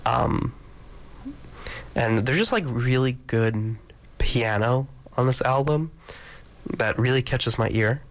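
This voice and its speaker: Congested male DJ, gravelly, rambling casual studio patter. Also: mid-range voice, slow, pauses often, low-quality recording. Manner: rambling, many ums and restarts. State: congested from allergies. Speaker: radio DJ. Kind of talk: casual radio chat between songs. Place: radio studio.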